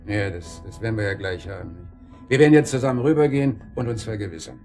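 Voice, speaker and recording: An actor playing a practical, sternly polite holiday-house landlord in a naturalistic radio drama, brisk and businesslike. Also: male voice, on the low side, expressive, a little mellow, recorded from a distance, faint music behind, lightly acted. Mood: brisk, helpful.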